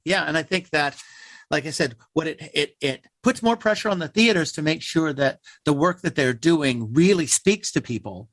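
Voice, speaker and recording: A man's voice: a little high, lively intonation, normal speed, a bit bright, no echo. A man giving earnest, emphatic opinions as an interview guest in conversation. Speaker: interview guest, theater artist.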